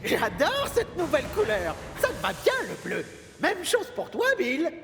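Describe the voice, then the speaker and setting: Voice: sits high, normal speed, soft background music, full character voice. An actor voicing a cheeky young twin tank engine, a boyish, mischievous prankster in a French cartoon dub. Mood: gleeful, teasing. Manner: mischievous, lively, teasing.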